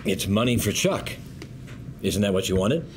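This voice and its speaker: A man playing a smooth, condescending law firm partner at a script read, polished and measured. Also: on the low side, lively intonation, background noise, close to an everyday voice. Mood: courteous, guarded. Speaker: actor at a script table read. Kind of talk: acted script read at a table.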